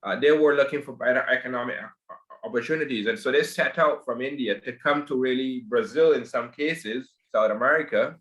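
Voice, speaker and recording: A man's voice: medium pitch, a little fast, a bit bright. A man from Barbados, speaking as a guest in a podcast interview, often hesitant and repeating his words. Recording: echoey room.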